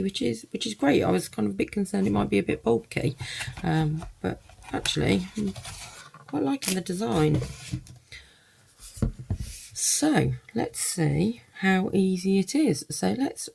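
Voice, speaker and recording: A woman's voice: medium pitch, gravelly, a little mellow, breathy edge. A woman giving a casual first-look product review, thinking aloud in loose, hesitant phrases.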